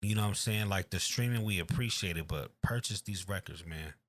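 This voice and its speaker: A man's voice: low voice, brisk, a bit bright. A man, a guest rapper, talking casually and emphatically, repeating his call to buy music. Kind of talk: casual chat.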